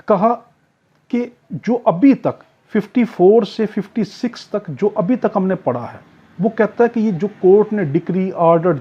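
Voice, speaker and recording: A man's voice: sits high, mellow, husky. A man with a Pakistani accent lecturing on law, explaining didactically, repeating points and answering his own questions. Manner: didactic, repetitive, posing questions and answering them. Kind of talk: exam-prep lecture on law articles. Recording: dull, lo-fi, muffled sound.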